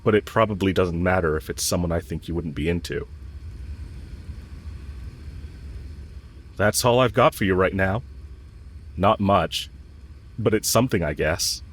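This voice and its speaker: Lovestruck villain played by a man, low-pitched, earnest and hesitant, intimate audio roleplay with ambient noise behind. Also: moderate pace, natural voice. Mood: wry, tentative. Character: lovestruck male villain confessing to his enemy, yearning.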